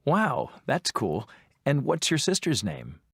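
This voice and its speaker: An adult voice playing an interviewer in a scripted textbook dialogue, asking friendly questions with measured delivery. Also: mid-range voice, wildly swooping pitch, fairly bright tone, husky, no echo, studio quality, full character voice. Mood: plain.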